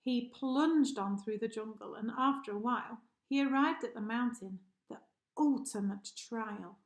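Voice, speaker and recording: A woman's voice: on the high side, measured pace. A woman reading a rhyming children's story aloud expressively, like a teacher addressing pupils. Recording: echoey room.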